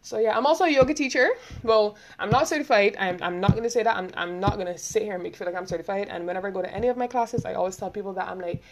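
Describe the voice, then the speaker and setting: A young woman with a Barbadian accent talking quickly and rambling, hosting a casual solo podcast and laughing often. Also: mid-range voice, background noise. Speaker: podcast host.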